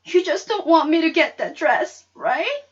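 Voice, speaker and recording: A high-pitched, excitable schoolgirl from a storybook, acted out by a woman. She exclaims with open emotion and pushes her words out forcefully. The sound is a little dull and lo-fi. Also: a little slow, exaggerated voice. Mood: angry hurt. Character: excitable, emotional sixth-grade girl pleading with her mother.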